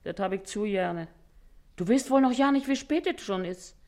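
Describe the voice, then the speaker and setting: Actress as sharp-tongued washerwoman mother, swinging pitch. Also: expressive, lightly acted. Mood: loud, scolding. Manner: bossy, scolding, quick-witted. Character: shrewd, hard-working, sharp-tongued washerwoman mother and thief. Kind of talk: theatrical dialect comedy acting.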